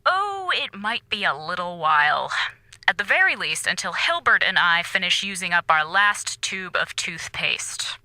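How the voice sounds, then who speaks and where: An actress playing a stern, dryly smug space station commander in an audio drama, authoritative and deadpan. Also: female voice, lowish voice, measured pace, no room sound, exaggerated voice. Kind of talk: modern radio drama acting.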